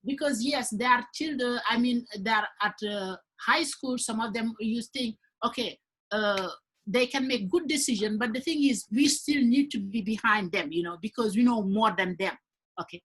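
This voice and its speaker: A woman from Senegal who teaches high school talks earnestly in a panel discussion, often repeating words as she works toward her point. Her voice is a little husky and sits fairly high. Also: normal speed, fairly bright tone.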